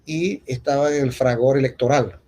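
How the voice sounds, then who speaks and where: A Venezuelan man giving an unscripted public talk, reminiscing in a halting way and restating points with emphasis. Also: medium pitch, fairly even intonation, dark tone. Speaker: poet speaking at a poetry festival.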